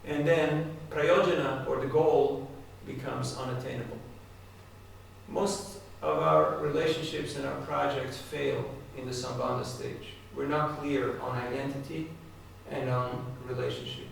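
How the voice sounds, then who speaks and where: A man with a mid-pitched, gravelly voice delivers a devotional talk, turning often to his listeners with direct questions. He is recorded from a distance in a large, very reverberant hall. A faint hiss sits under the recording. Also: steady tone, measured pace. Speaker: spiritual speaker. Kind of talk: devotional talk to a live audience. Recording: echoey hall, distant mic, faint noise.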